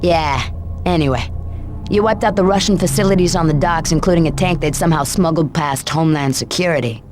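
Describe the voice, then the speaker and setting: A woman's voice: low voice, brisk, background noise, natural voice. An actress playing a sardonic, probing female interrogator in a video game, with a dry and pointed delivery. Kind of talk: video game cutscene dialogue. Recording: music behind the voice.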